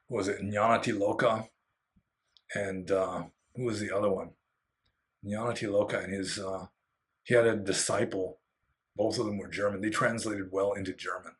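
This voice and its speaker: A Buddhist monk, a man who is slightly hoarse, answering viewer questions in an unscripted solo talk, rambling with many fillers and restarts and giving candid opinions. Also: on the low side, fairly bright tone. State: getting hoarse.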